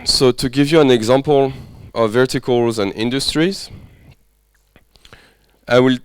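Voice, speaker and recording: Man with a French accent, smooth voice. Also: medium pitch, unhurried, no echo, faint music behind. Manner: friendly, conversational, checks understanding often. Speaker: workshop lecturer. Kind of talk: interactive workshop teaching. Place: workshop room.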